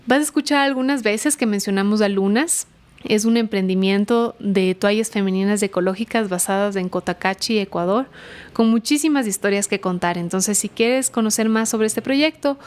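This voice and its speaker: A woman's voice: mid-range voice, clean tone. A woman hosting a podcast, reading the intro and then interviewing a guest in a friendly way, laughing often.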